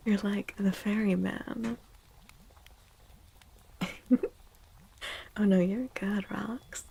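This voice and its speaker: A woman streams in a hushed, breathy ASMR voice that often slips toward a whisper. Her tone is mellow and creaky at the edges, and she takes her time. She chats gently, with a playful, theatrical way of drawing out words. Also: medium pitch, steady tone, extremely slow, long pauses. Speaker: streamer hosting a tarot and astrology stream. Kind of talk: soft-spoken ASMR, casual live stream chat.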